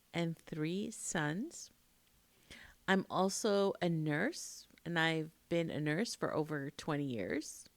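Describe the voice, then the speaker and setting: American woman late 40s talking to camera, slow and expressive, really dry close sound. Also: fairly low pitch, creaky, no echo. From Queens, New York, United States. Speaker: vlogger, emotional intimacy coach. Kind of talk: personal storytelling to camera.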